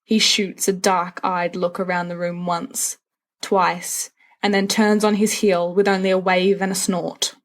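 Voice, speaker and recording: A woman's voice: lowish voice, level tone, measured pace. A woman reading fiction aloud as a scripted story narration. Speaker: volunteer audio fiction reader.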